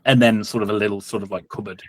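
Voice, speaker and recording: A man's voice: lowish voice, level tone, fast talker, no echo. A man improvising casual, loosely phrased narration as the game master of a tabletop game.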